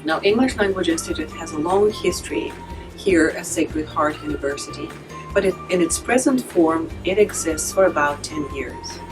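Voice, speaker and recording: Low-voiced woman director over music. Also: rough recording. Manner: careful, frequent uh pauses. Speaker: academic director of a language institute. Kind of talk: prepared statement.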